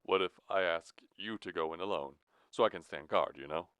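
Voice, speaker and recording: A man's voice: deep voice, normal speed, a bit bright, clear, resonant tone, no echo. A man voicing a shifty, insincere, folksy old-time American hobo in an audiobook. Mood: wheedling, sarcastic.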